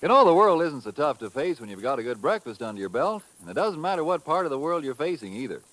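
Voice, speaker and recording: A man delivering an old-time radio commercial as an emphatic, folksy pitchman. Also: very animated, fast, a bit bright, no echo, low-quality recording. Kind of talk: 1950s radio commercial, folksy hard sell.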